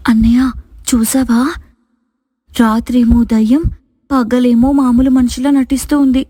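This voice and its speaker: A woman's voice: fairly high pitch, flat delivery, measured pace, warm tone, slightly rough, dry recording, studio quality, big-room sound. A woman playing an anxious, suspicious young sister in a family story, urgent and insistent. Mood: alarmed.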